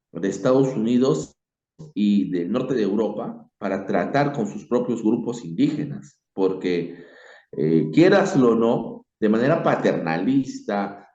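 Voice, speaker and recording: A man with a Peruvian Spanish accent giving his opinion informally, reflective and halting, leaning emphatically on key words. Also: mid-range voice, dark tone. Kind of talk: informal spoken opinion on history. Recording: dull, echoey room recording.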